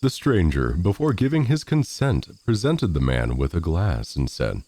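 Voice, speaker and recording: Male narrator for classic fairy tales, low and mellow, with very wide swings in pitch. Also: very animated, normal speed. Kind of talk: scripted storybook narration.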